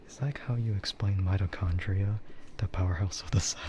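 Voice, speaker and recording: A man doing casual ASMR stream chat, soft, breathy and mostly whispered, in a hesitant, rambling way. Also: sits low, moderate pace. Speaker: streamer, VTuber. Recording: dull, muffled audio with loud ambient noise.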